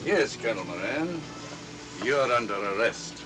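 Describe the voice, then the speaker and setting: An actor playing a gruff, self-assured police inspector in 1940s film style. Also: male voice, lively intonation, measured pace, over music, lightly acted. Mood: smug, stern. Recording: noisy old 1940s film soundtrack. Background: rumbling train noise.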